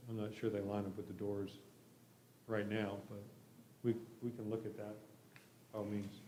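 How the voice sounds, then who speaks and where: A man's voice: lowish voice, level tone, moderate pace, warm tone. A man explaining a development plan informally at a public meeting, with tentative, hesitant phrasing. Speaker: project applicant presenting a development plan. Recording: roomy echo with faint background noise.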